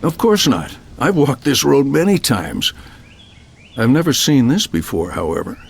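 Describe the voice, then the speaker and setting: An actor playing a wise, steady centaur guide in a video game, earnest and measured in his delivery. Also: medium pitch, creaky, slight background noise. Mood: puzzled. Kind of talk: scripted video game cutscene acting.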